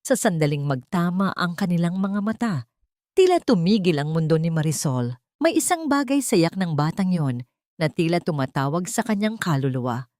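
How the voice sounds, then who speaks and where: A woman's voice: lowish voice, very animated, moderate pace, a little mellow, no echo, clean studio sound. A woman reading scripted dramatic narration in a solemn, emotive storytelling manner.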